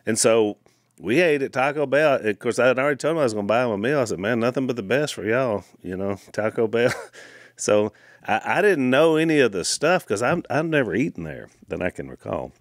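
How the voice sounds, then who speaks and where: A middle-aged man, co-hosting a podcast and telling stories in a casual, animated way at a studio mic. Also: medium pitch, no room sound. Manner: animated storyteller, quick asides. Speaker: podcast co-host. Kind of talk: casual storytelling chat. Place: wood-paneled studio room. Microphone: studio mic on boom arm, headphones.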